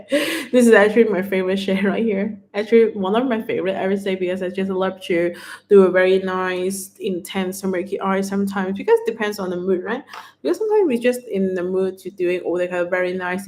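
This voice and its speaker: A woman with a Thai accent hosting a beauty livestream, chatting casually as she demonstrates products and pitches discounts. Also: a little mellow, a little hiss or hum. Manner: chatty, keeps repeating "right here", upbeat sales talk. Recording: echoey room.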